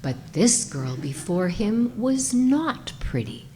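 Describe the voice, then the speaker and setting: A woman's voice: medium pitch, very animated, slow, darkish tone, a little hiss or hum. A woman reading a comic short story aloud as its narrator, in a scripted storytelling style.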